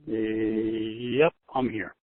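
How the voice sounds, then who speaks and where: A man's voice: fairly low pitch, flat delivery, drawn-out words, no room sound. A man speaking candidly and informally to a group on a conference call, repeating words and leaning on some with emphasis. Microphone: telephone. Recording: narrowband telephone conference line.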